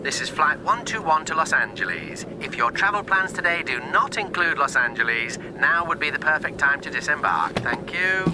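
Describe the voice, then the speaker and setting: An actor playing a polite, deadpan male airline announcer giving a formal cabin announcement in a radio drama. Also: lightly acted. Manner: measured, deadpan announcement delivery. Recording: very loud aircraft rumble.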